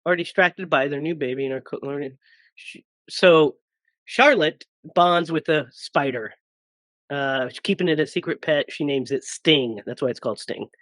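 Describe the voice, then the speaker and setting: A man in his thirties hosting a casual podcast, hoarse from a cold and joking around with his co-hosts. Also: a little high, normal speed, a bit bright, no room sound. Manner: joking, laughs often. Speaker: podcast host.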